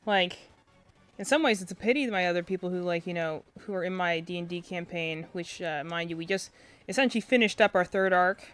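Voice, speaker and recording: A young woman with a quiet, soft voice chatting casually on a game stream, rambling with lots of fillers and restarts, close to her desk mic. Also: lowish voice, brisk, faint music behind. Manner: rambling, filler-heavy, self-interrupting. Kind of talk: casual live-stream chat. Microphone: desk mic close to face.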